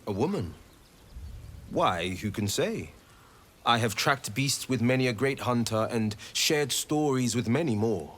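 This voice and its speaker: An actor playing a swashbuckling, boastful young monster hunter in a fantasy video game, with charming, theatrical flourishes. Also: male voice, medium pitch, animated, a little slow, a bit bright.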